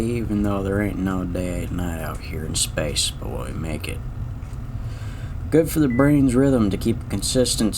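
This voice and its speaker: A man's voice: on the low side, background noise. A man voicing a weary, sardonic lone male space garbage man who narrates his own story with dry, cursing wit in an audio drama. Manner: wry, dry, muttering curses.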